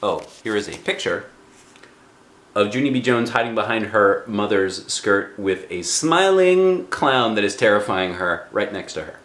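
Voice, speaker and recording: Animated man reading a children's story. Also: on the low side. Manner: animated, dramatic pauses and emphasis. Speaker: storybook reader. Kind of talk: expressive children's book read-aloud. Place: small living room indoors.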